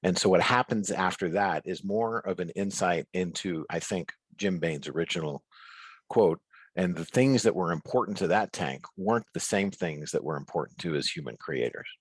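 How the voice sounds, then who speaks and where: A man with a very deep, husky voice talks as a guest author. He phrases things earnestly and deliberately, pausing as he reflects. The sound is close and dry, with very little room in it. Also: dry recording. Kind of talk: reflective interview conversation over video call. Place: remote video call.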